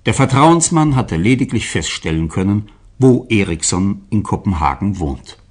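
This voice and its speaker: A male narrator reads a scripted radio drama. His voice is very low and husky, and his intonation rises and falls in a lively way as he sets each scene. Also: normal speed.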